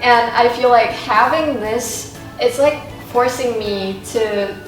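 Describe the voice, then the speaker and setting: Female high schooler, native Thai speaker, higher voice, discussion style, roomy echo with music behind. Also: takes it slowly. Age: teenager, high school student. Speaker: student panelist. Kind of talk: semi-prepared discussion of school life. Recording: echoey room, distant mic, music behind.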